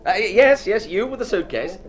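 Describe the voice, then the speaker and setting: A man's voice: high-pitched, lively intonation, brisk. An actor playing a breezy, talkative, idle young male painter in a hearty, theatrical old radio drama style. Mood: breezy, amused. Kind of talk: vintage radio drama acting.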